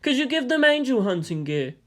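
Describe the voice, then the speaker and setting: A reader voicing a courteous, measured military adviser. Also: male voice, extremely high pitch, sing-song, fairly bright tone, pitched above the performer's own voice, heavily acted. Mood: polite, requesting. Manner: polite, measured, understated. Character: self-effacing, courteous male military adviser, tea-sipping strategist.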